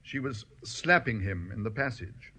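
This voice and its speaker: An actor playing a discreet, deferential valet, formal, measured and dry, in a radio comedy drama. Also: male voice, on the low side, lively intonation, bright, forceful tone, slightly rough, full character voice. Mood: dry discretion. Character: discreet, unflappable, deferential English male valet.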